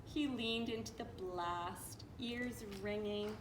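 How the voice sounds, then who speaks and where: A woman reading a children's picture book aloud in an expressive, playful, dramatic way, with little asides. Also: medium pitch, slow. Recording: roomy echo with clear background noise.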